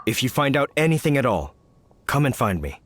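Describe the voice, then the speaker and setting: An actor playing a courteous, earnest knight officer in a video game, speaking formally and politely. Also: male voice, mid-range voice, big pitch swings, brisk, lightly acted. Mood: grave.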